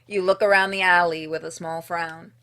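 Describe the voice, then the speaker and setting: A woman reading a visual novel game's narration aloud in an expressive storytelling way, with casual, dryly humorous asides. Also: fairly low pitch, moderate pace. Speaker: streamer playing and narrating a game.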